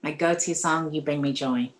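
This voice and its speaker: A woman's voice: low voice, fairly even intonation, moderate pace. A woman with a mixed Ghanaian, British and American accent, chatting as a guest in a casual podcast interview and often saying um. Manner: chatty, with frequent ums.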